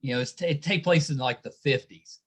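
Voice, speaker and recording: A man's voice: a little high, moderate pace, slightly rough. A man hosting a casual interview, chatting as he asks questions. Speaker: podcast host interviewing a band.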